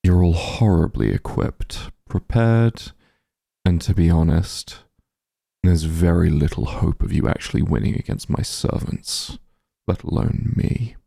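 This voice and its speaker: A man playing a powerful, calm and smug demon lord in a fantasy ASMR roleplay, unhurried and condescending, with a gravelly, husky low voice. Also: dry recording, clean studio sound, full character voice. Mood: bored, condescending. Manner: unhurried, condescending, softly commanding.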